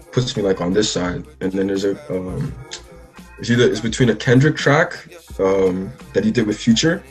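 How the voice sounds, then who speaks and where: A young man from the Bahamas, a musician talking casually in an interview, rambling with lots of restarts. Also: fairly low pitch. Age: young adult. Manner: rambling, many likes and restarts. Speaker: musician guest.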